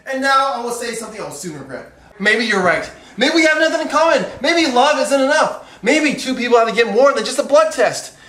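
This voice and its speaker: An actor playing an exasperated, sarcastic young lawyer husband, arguing drily in theatrical comic dialogue. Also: male voice, extremely high pitch, expressive, a little fast, bright, forceful tone, recorded from a distance, exaggerated voice. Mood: weary, sarcastic. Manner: dry, argumentative, sardonic. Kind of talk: theatrical comedic stage dialogue. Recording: echoey room with background noise.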